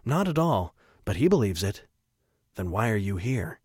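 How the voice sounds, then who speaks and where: A man's voice: fairly low pitch, big pitch swings, normal speed, a little mellow, slightly rough, no echo, clean studio sound. A man voicing a wry, self-assured priest in an audiobook, with a knowing, teasing manner. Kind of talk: audiobook character acting.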